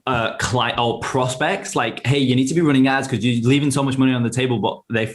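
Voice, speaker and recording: A relaxed man hosts a casual interview chat at a mid-range pitch. He is agreeable and keeps up quick affirmations, then asks his questions in an easygoing way. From England, raised in Australia. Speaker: interview host, business coach.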